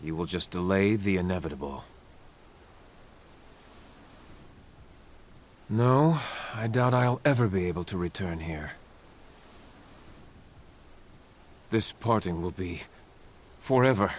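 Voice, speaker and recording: An actor playing a young prince in a game cutscene, speaking earnestly and solemnly about sacrificing himself for peace. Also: male voice, low voice, lively intonation, moderate pace, slight background noise. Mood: resigned.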